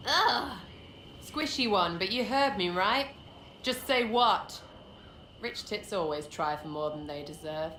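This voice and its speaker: Lively, cheeky English rogue girl. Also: mid-range voice, takes it slowly. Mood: cheeky, brash. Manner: slangy, blunt, rambling, mocking. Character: cheeky, irreverent young rogue archer woman, scrappy commoner. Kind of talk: video game dialogue acting. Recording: roomy echo, faint background noise.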